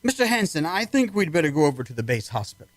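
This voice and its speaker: Dry, slightly high-voiced male base doctor acted. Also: big pitch swings, fast, no room sound. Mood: calm, coaxing. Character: skeptical, calmly firm male military base doctor. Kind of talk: amateur radio play acting.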